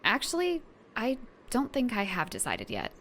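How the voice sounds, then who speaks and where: A woman's voice: fairly high pitch, wildly swooping pitch, moderate pace, fairly bright tone, a little hiss or hum, full character voice. A woman playing a reluctant, casual and loyal young superhero in an audio drama. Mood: hesitant, agreeable.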